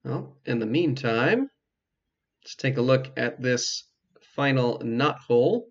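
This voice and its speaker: Male game player, casual walkthrough, mid-pitched, explanatory, hesitant pauses, muffled lo-fi mic. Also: lively intonation, breathy edge. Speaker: video game player and commentator. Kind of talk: casual let's play commentary.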